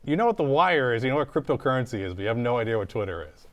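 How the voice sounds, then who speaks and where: Guy hosting a goofy improv interview, casual and deadpan, average pitch voice. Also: racing. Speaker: comic talk show host.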